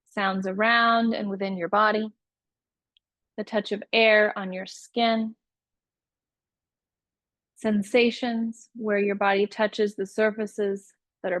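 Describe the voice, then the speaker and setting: Female meditation guide, very slow and soothing, mid-range pitch, close dry recording. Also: no room sound. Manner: unhurried, soothing instructional delivery with pauses. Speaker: yoga nidra meditation teacher.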